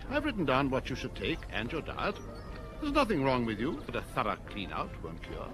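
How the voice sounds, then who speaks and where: Brisk, very animated male doctor. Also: mid-range voice, music in the background. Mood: brisk, reassuring. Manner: brisk, clipped, matter-of-fact. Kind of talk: radio drama acting.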